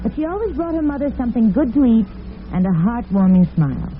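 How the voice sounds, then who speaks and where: A woman playing a sympathetic storyteller, narrating a melodrama in measured, dramatic old-time radio style. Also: on the high side, very animated, soft background music. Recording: narrow-band vintage radio recording.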